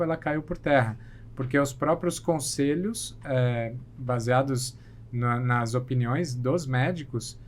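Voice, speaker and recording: A middle-aged man with a Brazilian accent gives conversational interview answers at a medium pitch. He often rephrases as he goes, and there is faint noise behind him. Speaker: podcast guest, plastic surgeon.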